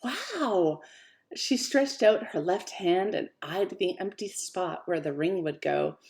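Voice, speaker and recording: A woman author reading her own fiction aloud in an expressive, lively way. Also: fairly low pitch, takes it slowly. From Canada. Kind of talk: audiobook-style fiction reading.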